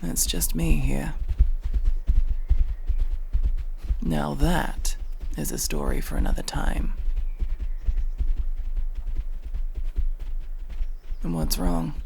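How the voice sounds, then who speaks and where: A man playing a guarded, dry elf mercenary in an ASMR fantasy roleplay, gruffly protective and matter-of-fact, with a gravelly, sometimes breathy voice. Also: on the high side, fairly even intonation, measured pace, a little mellow, a little hiss or hum. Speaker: voice actor in audio roleplay.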